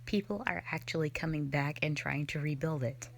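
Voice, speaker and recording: A woman's voice: low-pitched, normal speed, creaky, a little hiss or hum. A woman voicing a cold, sardonic female slayer who is not human, talking in a dismissive, mocking, blunt way in an audio roleplay drama. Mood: scornful.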